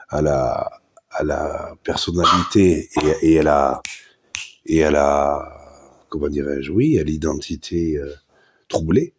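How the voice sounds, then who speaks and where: A man's voice: a bass voice, a little mellow, breathy edge. A man with a head cold, hosting a live podcast and giving a blunt, crude monologue of opinions full of hesitations and restarts. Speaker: podcast host. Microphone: live podcast mic.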